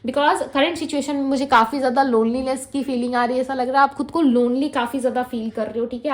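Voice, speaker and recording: A woman with a high, light voice reads tarot cards straight to her viewers. She talks in a gentle, reassuring way, blends Hindi with English, and keeps tagging her points with "ठीक है". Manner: Hindi mixed with English, frequent "ठीक है" fillers. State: throat feels heavy. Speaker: tarot reader.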